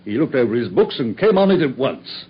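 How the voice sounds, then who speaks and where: An actor playing a boastful, self-satisfied Victorian police inspector with a British accent, husky and excitable, in a radio drama. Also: male voice, medium pitch, a little fast. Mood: self-important recounting. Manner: pompous, excitable. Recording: muffled radio recording.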